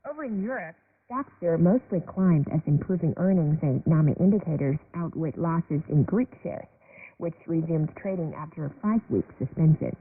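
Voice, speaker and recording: A woman's voice: deep voice, expressive, normal speed. A woman reading a scripted business news bulletin as a presenter, heard on shortwave radio. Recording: noisy, narrowband shortwave radio broadcast.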